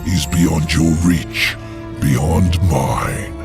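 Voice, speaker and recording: An actor playing a proud, secretive imprisoned demon lord in a video game cutscene, theatrical and commanding. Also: male voice, very deep voice, very slow, vocal fry, over music, heavily acted. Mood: cunning, commanding.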